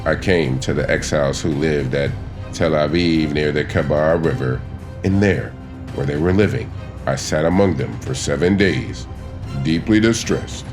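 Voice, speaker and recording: A man narrating a dramatized scripture audiobook in a solemn, measured and grave manner. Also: extremely low pitch, over music.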